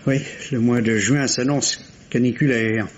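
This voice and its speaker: A man's voice: medium pitch, fairly even intonation, measured pace, close to an everyday voice. Casting: man as ambitious French surgeon husband, middle-aged, cool, exasperated, formal. Vintage radio drama, dull hissy sound. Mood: dry impatience. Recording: dull, noisy old radio recording.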